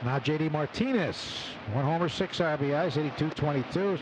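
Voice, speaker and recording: A man doing live radio baseball play-by-play, calling the action in clipped phrases between pauses. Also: on the high side. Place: open broadcast booth at outdoor ballpark. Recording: noisy outdoor ballpark broadcast. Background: loud stadium ambience.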